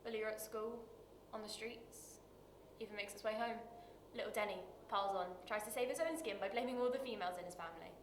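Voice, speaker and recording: A woman acting a dramatic screen monologue as a bitter, wounded working-class woman, confessional and halting, with weighted pauses. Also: mid-range voice, natural voice. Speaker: actor performing a monologue. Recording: roomy echo with light background noise.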